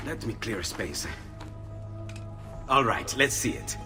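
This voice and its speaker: An actor playing an excitable, friendly inventor in a video game, animated and courteous, with an Italian accent. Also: male voice, lowish voice, slow pace, music in the background. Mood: fascinated. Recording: long hall reverb.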